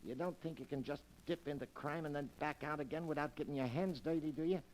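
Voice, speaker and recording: An actor playing a callous, menacing small-time burglar who talks in tough slang and clipped commands, in a 1940s radio crime drama. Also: male voice, a little high, quick pace, darkish tone, close to an everyday voice. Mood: threatening. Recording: noisy vintage 1940s radio recording.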